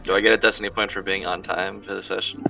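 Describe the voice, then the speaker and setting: A man's voice: deep voice, little pitch movement, fast. A man chatting casually as a player in a tabletop roleplaying game. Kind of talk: casual chat. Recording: distant mic with clear background music. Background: music.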